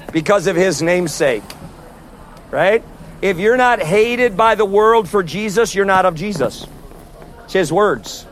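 Street preacher, male, high and forceful, loud, open-air with light traffic hiss. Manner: forceful, repeatedly addressing "neighbors". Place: outdoors on a street outside a concert. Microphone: no amplifier.

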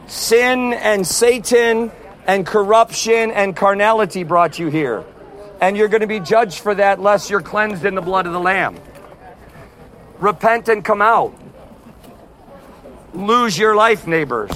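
Street preacher, male, high and forceful, loud, open-air with light traffic hiss. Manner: forceful, repeatedly addressing "neighbors". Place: outdoors on a street outside a concert. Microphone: no amplifier.

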